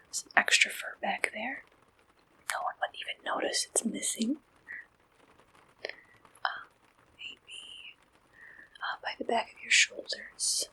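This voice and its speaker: A woman doing a gentle, polite ASMR roleplay as a friendly, helpful service clerk, speaking in soft whispers. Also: a little high, slightly rough, low-quality recording. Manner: gentle, polite, attentive customer-service way of talking. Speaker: ASMR performer playing a dating service receptionist.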